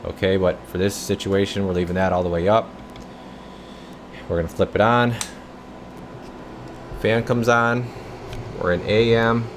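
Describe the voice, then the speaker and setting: Male technician host, lowish pitch, casual and methodical demo narration, matter-of-fact, slight background hum. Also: unhurried, pauses often. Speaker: radio technician, channel host. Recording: steady room hum and background noise.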